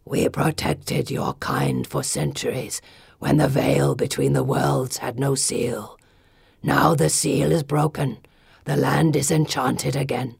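A woman voicing an ancient male stone gargoyle guardian with a gravelly voice, speaking grave, formal, commanding pronouncements in a storybook reading. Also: animated, slow pace, a little mellow, no room sound, clean studio sound, heavily acted. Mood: grave, solemn. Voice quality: gravelly, deep.

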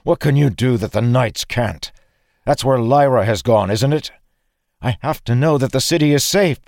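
Man acting an anguished young fantasy warrior, raised pitch. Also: animated, moderate pace, a little mellow, no echo, clean studio sound, lightly acted. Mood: desperate. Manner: earnest, desperate pleading. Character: anguished, guilt-ridden warrior made young again, pleading.

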